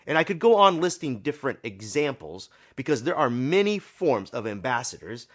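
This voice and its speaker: A man, a pastor hosting his podcast sermon, his voice tired and a little scratchy, speaking informally with small repetitions. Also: medium pitch.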